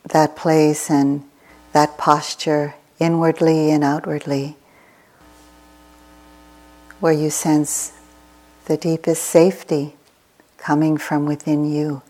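A woman teaching a guided meditation in short, measured, soothing phrases with long pauses between them. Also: sits low, little pitch movement, a little mellow.

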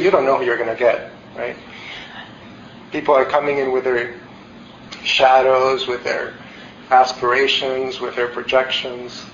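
A man's voice: medium pitch, a bit bright, a little hiss or hum. A man in his forties from El Salvador giving a candid, reflective meditation talk, with many fillers and restarts. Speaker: meditation teacher. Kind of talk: dharma talk. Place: meditation hall. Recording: roomy, slightly dull hall recording.

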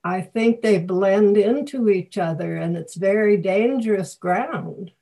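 A woman's voice: medium pitch, a little slow, creaky, warm tone. A woman taking part in a group discussion, speaking casually and reflectively as she asks and answers questions. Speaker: group participant asking questions.

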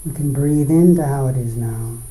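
A man teaching guided meditation, speaking in short, unhurried phrases with pauses between them. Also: mid-range voice, dark tone. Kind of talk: guided meditation, dharma talk. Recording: roomy, echoing space.

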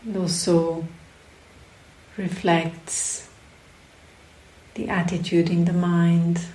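A woman meditation teacher speaks in a slightly low, mellow voice with very little rise and fall. She offers guidance in short phrases, leaving long pauses between them. The sound is roomy and echoing. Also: level tone. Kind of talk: guided meditation.

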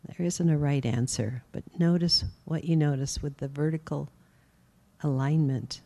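A woman teaching a guided meditation, speaking gently and unhurriedly with long pauses. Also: deep voice, fairly even intonation.

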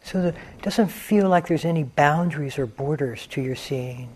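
A man teaching a guided meditation in a calm, gentle manner, leaving long pauses between phrases. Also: a little high, husky. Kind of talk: guided meditation, unscripted instruction.

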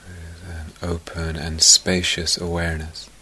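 A man teaching guided meditation in a gentle, soft-spoken manner, leaving long pauses and letting phrases trail off toward a whisper. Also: low-pitched, monotone, breathy edge.

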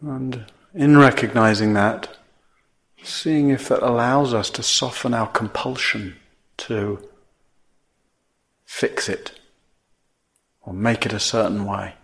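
A man teaching a guided meditation in measured phrases, with long pauses between them. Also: medium pitch, gravelly, fairly bright tone.

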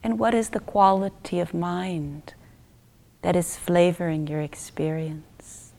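A woman guides a meditation in a slightly low voice, thick with creak. She speaks very slowly and gently, in short phrases with long pauses. The ends of her phrases soften toward a breathy near-whisper. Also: steady tone. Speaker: meditation teacher.

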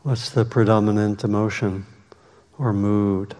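A man leading a guided meditation as the teacher, speaking in a measured way with long pauses. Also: lowish voice, flat delivery, dark tone. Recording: long reverberant hall echo.

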